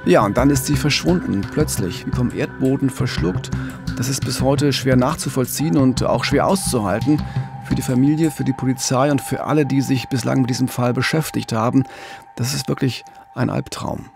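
A middle-aged or older man hosting a true-crime podcast, telling the story in a measured, earnest way. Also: medium pitch, gravelly, over music. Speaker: podcast co-host, TV crime reporter.

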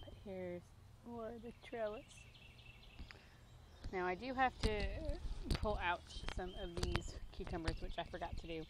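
A woman in her thirties giving a casual garden tour, talking into a handheld phone outdoors. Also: on the low side. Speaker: gardening vlogger. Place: outdoors in a backyard vegetable garden. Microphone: handheld phone at arm's length. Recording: noisy outdoor phone recording.

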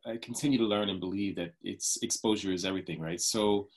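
Man, middle-aged, interview host, breathy, low-ish, affirming. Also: quick pace. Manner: friendly, affirming with short responses. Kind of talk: casual interview questions. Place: home room, video call.

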